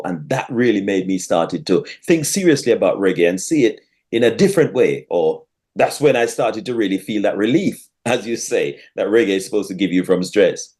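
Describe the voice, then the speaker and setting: A man's voice: lowish voice, a little mellow. A man from Jamaica with a Jamaican accent, hosting a conversational interview show and putting questions to his guest.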